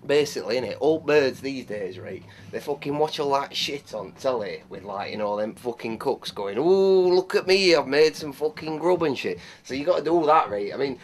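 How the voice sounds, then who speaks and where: An actor playing a crude, swaggering cook from Yorkshire with a Yorkshire accent, rambling and swearing. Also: male voice, fairly high pitch, a little hiss or hum, lightly acted. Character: crude boozy Yorkshire lad cook, brash and lewd. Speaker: comic cook character. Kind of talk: improvised comedy sketch.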